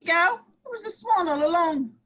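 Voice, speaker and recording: A sarcastic, teasing village detective, read by a woman in a high, lively, bright voice. He needles and mocks. The read comes through a video call. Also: normal speed, heavily acted. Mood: mocking. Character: mocking male village detective.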